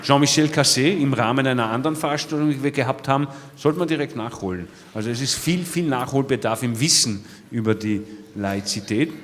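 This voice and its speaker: A man's voice: mid-range voice, moderate pace, a bit bright, slight background noise. A man moderating a conference, introducing the next speaker informally and often saying "ja". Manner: informal, repeats "ja". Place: lecture hall. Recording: reverberant lecture hall.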